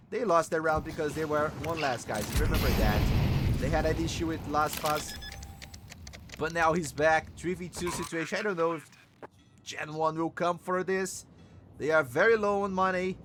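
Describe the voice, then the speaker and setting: A man from Brazil with a Brazilian Portuguese accent, a native Portuguese speaker casting an esports match alone in English, excitable on plays and chatty in between. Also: sits high, a little hiss or hum. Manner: excitable, quick bursts on plays, chatty asides and laughs. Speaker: esports caster. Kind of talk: solo game commentary and chat reading.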